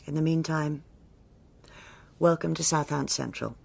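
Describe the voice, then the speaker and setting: An actress playing a stern, self-assured senior policewoman with a British accent, speaking in a measured, formal and authoritative way in a radio drama. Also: low-pitched, steady tone, creaky, darkish tone, slight background noise, heavily acted. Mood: formal, cool. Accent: British English.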